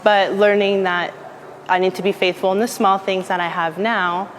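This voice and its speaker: A woman's voice: mid-range voice, steady tone, normal speed, big-room sound, a little hiss or hum. A woman attending a conference, giving a reflective interview answer.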